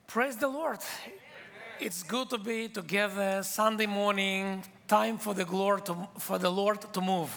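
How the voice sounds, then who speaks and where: A man's voice: high-pitched, fairly even intonation, unhurried, creaky, fairly bright tone. A man from Israel preaching a sermon in English, his third language, with an Israeli accent and emphatic, repetitive phrasing. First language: not English; English is his third language. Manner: emphatic, repetitive preaching with simple non-native grammar. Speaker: guest preacher. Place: church sanctuary. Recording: church hall with long reverb.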